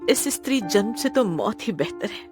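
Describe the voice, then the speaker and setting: A woman voicing a frightened, mistreated young wife in a horror story, pleading and stammering haltingly. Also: mid-range voice, very animated, natural voice. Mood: despairing. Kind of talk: Hindi horror story character acting. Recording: loud music bed behind the voice.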